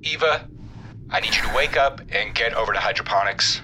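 A man's voice: on the low side, expressive, a little fast, pushed and bright, slight background noise. A man playing an urgent, businesslike colleague on an undersea base in a science fiction audio drama. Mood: urgent, uneasy. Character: brisk, uneasy male colleague on undersea base.

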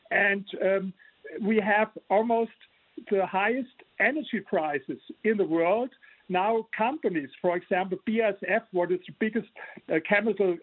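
A man's voice: sits high, husky, no echo. German-accented man on a tinny line. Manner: earnest, explanatory, frequent restarts. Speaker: author guest. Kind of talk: remote interview.

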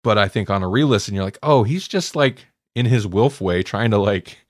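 A man's voice: lowish voice, lively intonation, moderate pace, no echo, clean studio sound. A man hosting a podcast, chatting casually as he reviews stories. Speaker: podcast host.

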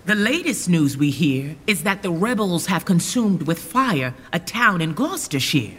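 An actor playing a newly crowned king, measured, formal and commanding, in a Shakespearean radio drama. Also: high-pitched, big pitch swings, fairly bright tone. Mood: regal. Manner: measured, regal. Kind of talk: Shakespearean radio play acting. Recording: reverberant hall, faint noise.

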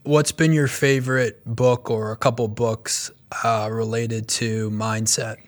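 A man hosts an interview in a relaxed, conversational manner. His voice sits at a middle pitch. He often restarts his questions partway through. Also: unhurried. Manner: easygoing, with small restarts. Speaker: podcast host.

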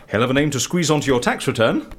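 An actor playing an eccentric, curious and gleeful interviewer in an audio comedy drama, with a theatrical, exclamatory manner. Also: male voice, mid-range voice, big pitch swings, quick pace. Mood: puzzled, intrigued.

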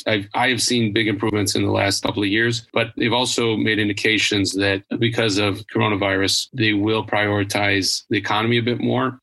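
Guest executive from Idaho, thirties, bright but level voice, thoughtful, restarts a lot. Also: male voice, on the low side, low-quality recording. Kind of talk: interview answers.

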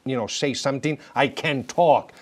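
A middle-aged man from Italy, a guest recounting stories in an interview with animated, good-humored delivery. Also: mid-range voice. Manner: warm-humored, animated storytelling with brisk asides. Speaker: guest, former papal guard. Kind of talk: storytelling interview.

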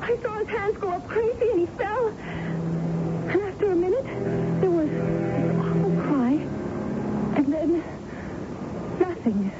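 A woman's voice: very high-pitched, lively intonation, slow pace, long pauses, loud music behind the voice, full character voice. A woman playing a distraught young American woman, a daughter recounting a terror, in a radio drama. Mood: haunted, distressed. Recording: muffled, noisy vintage radio recording.

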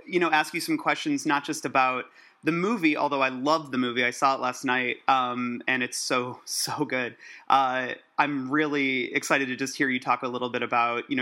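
A man with a bright, forward voice at an average pitch conducts a casual interview. He sounds eager and appreciative, speaking as a friendly podcast co-host.

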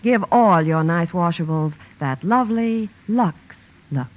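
A woman reading a scripted 1950s radio advertisement with brisk, persuasive sales delivery. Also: on the low side, expressive, very noisy. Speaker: commercial announcer. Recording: dull lo-fi vintage radio.

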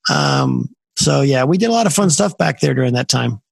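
Male podcast host, 50s, gravelly, chatty and rambling, dry close sound. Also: mid-range voice, wildly swooping pitch, normal speed, no room sound. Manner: friendly, rambling with many fillers and restarts. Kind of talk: casual interview chat. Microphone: video call.

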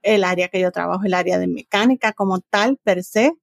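Gravelly-edged Puerto Rican woman, middle-aged. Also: lowish voice, brisk, no room sound, clean studio sound. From Puerto Rico. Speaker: interview guest, business owner and trainer. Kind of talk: conversational interview answers.

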